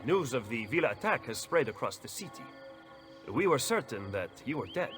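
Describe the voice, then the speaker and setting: An actor playing a cool, haughty political schemer in a video game. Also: male voice, mid-range voice, very animated, moderate pace, a bit bright, faint music behind. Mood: cool, urgent.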